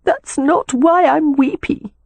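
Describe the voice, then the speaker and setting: A narrator voicing a troubled young married woman in a novel, earnest, polite and gently pleading. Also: mid-range voice, expressive, moderate pace, husky, no room sound, pitched above the performer's own voice. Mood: tearful, unsettled.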